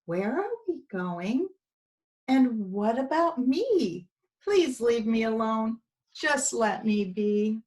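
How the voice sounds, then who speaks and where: A small, frightened young donkey from a storybook, acted out by a woman. The voice is raised and timid, with slow, pleading phrases that sound weak and unsure of itself. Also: fairly high pitch, animated, darkish tone.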